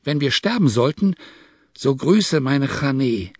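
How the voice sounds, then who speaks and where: A man's voice: mid-range voice, lively intonation, takes it slowly, no echo, voice pushed up. A man voicing a proud, hot-headed, loyal desert rider in an audiobook, impassioned and boastful in a declamatory way. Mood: solemn, proud. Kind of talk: dramatized audiobook character.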